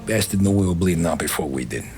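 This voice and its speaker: A man's voice: low-pitched, expressive, fast, over music. An actor with an American accent playing a weary, wry mobster recounting his past matter-of-factly in a game. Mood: reflective. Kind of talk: cinematic game dialogue, storytelling.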